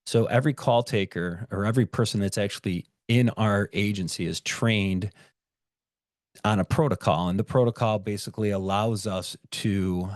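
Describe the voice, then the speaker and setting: An American man in middle age speaks in a low voice with very little room sound around it. He explains things informally and step by step, like a plain-spoken shift supervisor giving a training talk. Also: darkish tone, no room sound, studio quality. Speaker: 911 dispatch shift supervisor giving a presentation.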